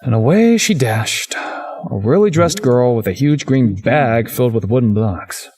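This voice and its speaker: A man's voice: mid-range voice, expressive, a little slow, darkish tone. A man voicing a haunted, wry young man who narrates a visual novel game in a dramatic, introspective way. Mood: dry narration.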